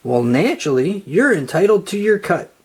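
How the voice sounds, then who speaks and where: A reader as a chummy sports agent, lively pitch. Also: normal speed, slightly rough. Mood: sly. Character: smooth-talking, flattering male sports agent. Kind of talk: children's storybook read-aloud.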